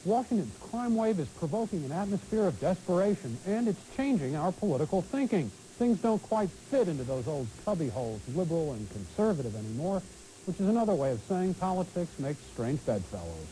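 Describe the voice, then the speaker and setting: Lively high-pitched American male news commentator. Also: fairly quick, low-quality recording. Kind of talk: scripted broadcast commentary. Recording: hissy late-1980s TV broadcast tape.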